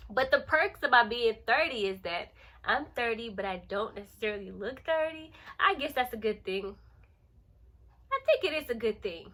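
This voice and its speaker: A woman of thirty with a bright, forceful, fairly high voice talks like a lifestyle vlogger. She is playful and animated, chatting casually and leaning on words and repeated phrases for emphasis. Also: normal speed. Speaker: lifestyle vlogger and channel host. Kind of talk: casual chatty vlog to camera.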